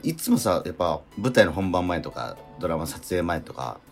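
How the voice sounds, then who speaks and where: Very low-voiced man chatting on radio. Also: big pitch swings, moderate pace, faint music behind. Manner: agreeable, frequent backchannels and follow-up questions. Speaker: radio show host and actor. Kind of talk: casual radio chat.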